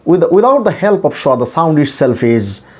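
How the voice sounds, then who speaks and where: A man with a Bangladeshi accent, a native Bengali speaker, teaching English pronunciation in a deliberate, repetitive, demonstrative instructional style. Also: fairly high pitch, very animated, noisy background.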